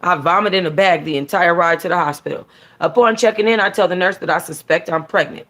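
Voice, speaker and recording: A woman's voice: on the low side, quick pace, a bit bright. Sassy woman reading a story aloud. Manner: sassy, conversational, slangy. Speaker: book club host reading a novel aloud. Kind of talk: expressive first-person audiobook-style reading with casual asides.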